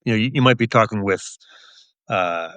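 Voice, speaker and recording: Low-voiced man, measured interview guest. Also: a bit bright, clean tone, no room sound. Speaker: police officer and author, interview guest. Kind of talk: thoughtful conversational interview answers.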